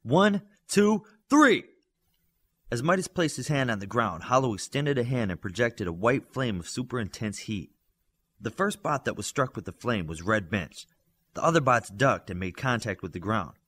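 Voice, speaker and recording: Male audiobook narrator, lower register, highly varied intonation, telling an adventure story. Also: expressive, normal speed, a bit bright, husky.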